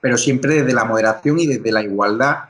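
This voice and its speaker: A man from Spain hosting a political talk show, emphatic and quick to run through lists. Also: mid-range voice, fairly bright tone. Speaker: show host.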